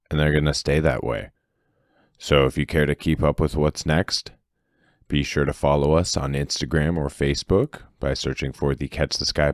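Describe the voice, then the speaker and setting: Man, very deep voice, dry close sound, teasing podcast co-host, laughs a lot. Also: dry recording. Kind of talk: casual chat.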